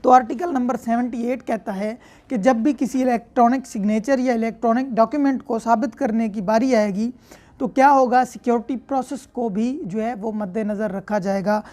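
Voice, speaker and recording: A woman with a Pakistani accent and a fairly high voice delivers a law lecture. She explains step by step, reaching for everyday examples and often checking that listeners follow. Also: steady tone, moderate pace, warm tone.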